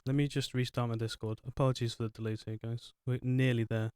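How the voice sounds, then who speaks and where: A man's voice: mid-range voice, level tone, fast, darkish tone, clean tone, dry recording, clean studio sound. Chatty man hosting a gaming podcast. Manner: chatty, apologetic, rambling with fillers. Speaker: podcast host. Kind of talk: casual podcast chat.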